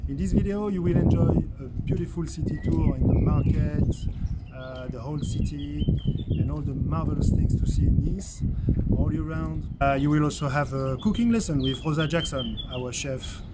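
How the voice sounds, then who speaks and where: A man giving an enthusiastic promotional welcome, pausing with fillers as he searches for words. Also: fairly high pitch, very noisy. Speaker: tourism presenter.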